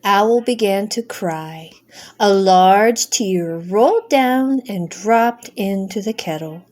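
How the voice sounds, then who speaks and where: Slow-paced grandmother reading a bedtime story. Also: medium pitch, darkish tone. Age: middle-aged or older. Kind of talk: children's storybook narration.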